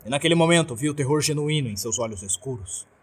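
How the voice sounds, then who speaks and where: A man's voice: fairly high pitch, animated, fast, breathy edge. A man with a Brazilian accent narrating a scripted horror story.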